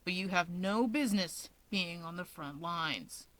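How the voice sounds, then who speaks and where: A woman's voice: on the low side, a little slow, a bit bright, no room sound. An exasperated older brother from a story, voiced by a woman. He nags in a subdued, terse way, anxious under the sarcasm. The recording is very dry. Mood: exasperated, nagging. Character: weary, nagging, anxious, sardonic older brother.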